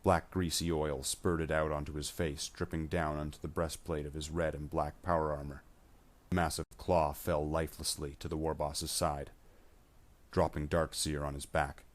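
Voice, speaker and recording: A man's voice: rumbling low, little pitch movement, moderate pace. A man reading scripted science fiction battle narration.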